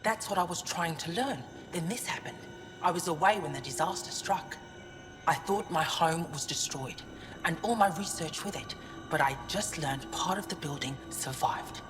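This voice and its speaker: Game casting: serious female alien researcher voiced by a woman, very low register, formal and resolute, reverberant, music underneath. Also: moderate pace, lightly acted. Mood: earnest, concerned. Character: earnest, challenging female alien scholar, resolute and reserved. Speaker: archaeoastronomer. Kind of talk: video game dramatic acting. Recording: long reverb, clear music behind voice.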